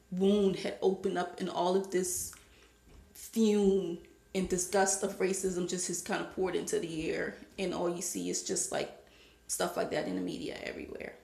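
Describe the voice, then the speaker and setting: A woman from Liberia giving her opinion in a casual monologue to camera, becoming more animated as she stresses her points. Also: mid-range voice, creaky, echoey room. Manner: opinionated, rising to animated emphasis. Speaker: vlogger.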